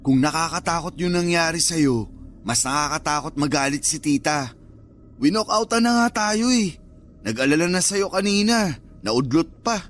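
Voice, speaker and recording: A man's voice: a little high, animated, moderate pace, fairly bright tone, faint music behind. A man playing a concerned young cousin in a Filipino radio drama, reasoning earnestly with mild reproach. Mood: mildly exasperated. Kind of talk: Tagalog radio drama acting.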